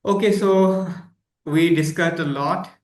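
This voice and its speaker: Man, channel host, slightly high voice, hesitant delivery, echoey room. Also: takes it slowly. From India. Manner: hesitant, many fillers and restarts. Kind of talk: casual interview.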